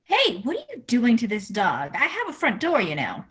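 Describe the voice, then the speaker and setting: A woman's voice: fairly high pitch, wildly swooping pitch, moderate pace, fairly bright tone, breathy edge, exaggerated voice. A woman playing a blunt young female veterinarian in a parody radio play, brusque and quizzing. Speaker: comedy radio-play actor.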